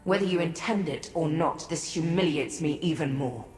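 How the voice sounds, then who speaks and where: A woman's voice: sits low, normal speed, a little mellow, lightly acted. A woman playing a proud, bitter Daedric prince in a fantasy video game, speaking solemnly and formally with an imperious manner. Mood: resentful. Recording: roomy echo with long reverb, music behind.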